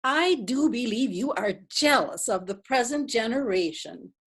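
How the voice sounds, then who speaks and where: A woman playing a modest, elderly widow, mild and gently reproachful, in a readers theatre play. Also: on the high side, expressive, a little slow, husky, full character voice.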